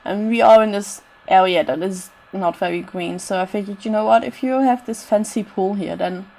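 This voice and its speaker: A woman's voice: medium pitch, fairly even intonation, moderate pace, gravelly, mellow. A woman with a bad cold, her voice straining, giving casual rambling commentary over a building game with lots of "I don't know" asides. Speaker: game builder narrating a renovation.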